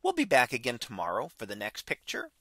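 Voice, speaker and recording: Lively bright-voiced man explaining astronomy. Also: medium pitch, fairly quick, no room sound, clean studio sound. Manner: plain, patient explaining with occasional fillers and restarts. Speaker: podcast host explaining an astronomy image. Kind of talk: unscripted explanatory commentary.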